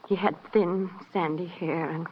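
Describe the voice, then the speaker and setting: An American actress playing a mature, flustered and guarded widow in a hesitant, genteel old radio drama manner. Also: female voice, lowish voice, steady tone, measured pace, natural voice. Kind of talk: 1950s radio drama acting. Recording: muffled old radio recording, faint noise.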